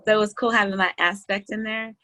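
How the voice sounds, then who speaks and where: A woman's voice: mid-range voice, fairly even intonation, fast, pushed and bright. A woman who is a cast member, chatting casually and informally on a group video call. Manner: informal, self-described goofy. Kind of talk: casual chat. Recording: faint noise on video call.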